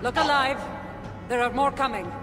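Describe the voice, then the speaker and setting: Game heroine played by a woman: ancient warrior, confident and wry, high voice, ringing reverb. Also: moderate pace, close to an everyday voice. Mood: urgent, teasing. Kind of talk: video game cutscene acting. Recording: long reverb, loud music behind.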